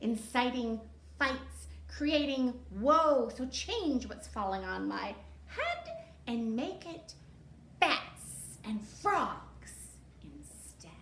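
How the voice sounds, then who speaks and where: Storybook witch acted by a woman, high swooping singsong incantations, drawn out and commanding. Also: big pitch swings, extremely slow, a bit bright, full character voice. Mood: theatrical, commanding. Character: dramatic young witch chanting rhyming spells. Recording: roomy echo, faint background noise.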